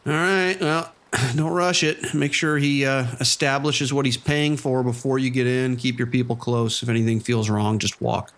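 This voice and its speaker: A man playing a dry, cautious vice sergeant in a police radio drama, giving orders with deadpan authority. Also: mid-range voice, moderate pace, close to an everyday voice. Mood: cautious, instructive.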